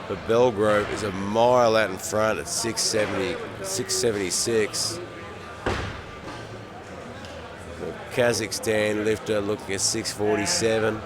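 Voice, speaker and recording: A man with an Australian accent giving steady, measured live commentary with dry jokes. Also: lowish voice. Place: competition venue. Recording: crowd noise behind.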